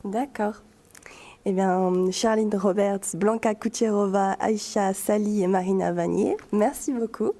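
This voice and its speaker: A woman's voice: mid-range voice, fairly even intonation, unhurried, dark tone. A woman hosting a radio chronicle, asking polite, formal questions and signing off the show. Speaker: radio columnist and interviewer. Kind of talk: radio interview and sign-off.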